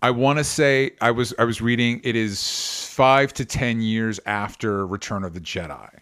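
A man's voice: mid-range voice, normal speed, a bit bright, clear, resonant tone. A man hosting a podcast, talking casually through movie news in an explanatory way, with qualifications and the odd swear word. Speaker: podcast host.

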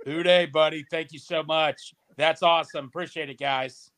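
A man's voice: on the high side, fairly even intonation, moderate pace, a bit bright. A man calling in to a podcast from a basement, easygoing and chatty. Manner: easygoing, laid-back chatter. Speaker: caller on a stream. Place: family house basement.

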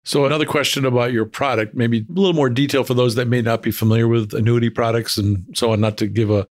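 A man's voice: mid-range voice, fairly even intonation, quick pace, dry recording, studio quality. A man hosting a business interview, putting questions in an informal, roundabout conversational way. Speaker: interviewer, host.

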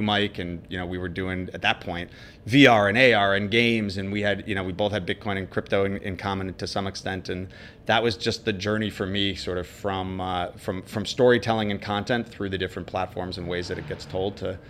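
A man's voice: sits low, fairly even intonation, a little fast, fairly bright tone, a little hiss or hum. A man speaking as an interview guest, thoughtful and often restarting his sentences as he recalls his career. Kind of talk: casual interview.

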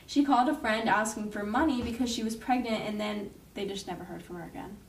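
A woman's voice: mid-range voice, fast talker, recorded from a distance. A young woman co-hosting a podcast, chatting casually and saying "like" a lot. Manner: casual, filler-heavy, says "like" a lot. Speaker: podcast co-host. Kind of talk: casual chat. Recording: echoey room, away from the mic.

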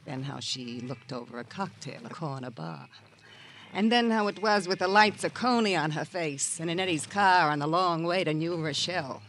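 An actor playing a jilted, sardonic auction-shop worker in an American radio crime drama, with a theatrical, world-weary drawl and an American accent. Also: male voice, a little high, normal speed, a bit bright, lightly acted. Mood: wounded. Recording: noisy old radio drama recording.

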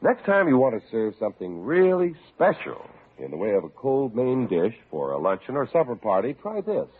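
A man's voice: medium pitch, huge pitch swings, normal speed, breathy edge. A man working as a radio announcer, with a polished, salesmanlike 1940s delivery. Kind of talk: 1940s radio ad and story narration. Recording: dull, lo-fi old radio recording.

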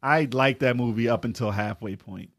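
A man bantering casually on a podcast panel in an animated, opinionated way. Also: medium pitch, moderate pace.

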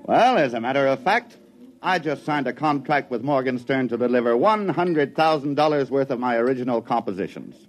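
An actor playing a breezy, cocky, grandiose artist at a bar in an old-time radio drama. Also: male voice, medium pitch, brisk, close to an everyday voice. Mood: breezy celebration.

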